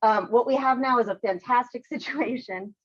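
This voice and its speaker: A woman's voice: on the high side, moderate pace, no echo, lo-fi sound. A woman city transportation official testifying at a council hearing, upbeat, with many ums.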